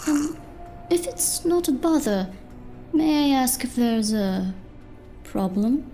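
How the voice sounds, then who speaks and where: A woman's voice: sits high, expressive, slow pace, warm tone, soft background music. A woman playing a polite, uneasy owner of a small diner in an audio drama. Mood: uneasy, guarded. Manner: polite, hesitant.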